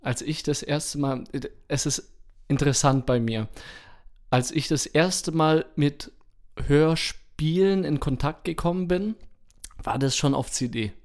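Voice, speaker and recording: A man with a voice a little higher than usual co-hosts a podcast. He talks in a casual, conversational style. His wording is colloquial and peppered with slang. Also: measured pace. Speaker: podcast co-host. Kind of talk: casual chat.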